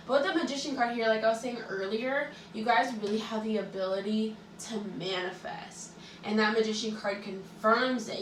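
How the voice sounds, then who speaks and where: A woman giving a casual tarot reading to her viewers, chatty and relaxed with lots of fillers, sounding a little stuffy-nosed from allergies. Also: mid-range voice, echoey room, recorded from a distance, a little hiss or hum, low-quality recording. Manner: chatty, relaxed, many likes and ums. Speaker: tarot reader and astrologer.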